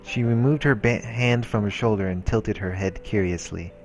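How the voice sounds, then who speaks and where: A man's voice: fairly low pitch, normal speed, darkish tone. A man doing a let's play, reading visual novel narration aloud in a casual, informal way, stumbling now and then and adding his own comments. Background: background music from the game.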